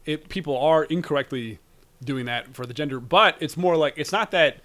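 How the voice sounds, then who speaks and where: A man hosting a podcast in casual chat, easygoing, quick to laugh, with halting phrasing. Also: on the high side, a bit bright. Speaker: podcast host.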